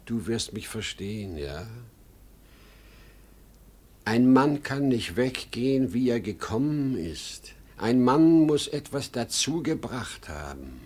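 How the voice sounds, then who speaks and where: A man's voice: medium pitch, sing-song, slow, a little mellow, husky, exaggerated voice. An actor playing a desperate, worn-out salesman in his sixties, urgent and pleading, in 1950s German radio drama style. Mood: anguished. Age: older. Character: desperate worn-out 63-year-old salesman plotting his death.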